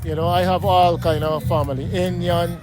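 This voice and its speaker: Middle-aged Guyanese man, high voice, emphatic and repetitive, street interview with music behind. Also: flat delivery, normal speed. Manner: emphatic, repetitive, keeps asking "you know what I mean?". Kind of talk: street interview, casual talk. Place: outdoors on a city street.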